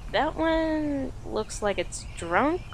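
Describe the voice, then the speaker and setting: Woman acting as a slow-talking, affectionate young-love girlfriend. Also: high-pitched, slight background noise, exaggerated voice. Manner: soft, giggly, unhurried with lingering pauses. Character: affectionate, playful girlfriend on an autumn date. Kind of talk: audio roleplay, intimate slow-paced monologue to listener.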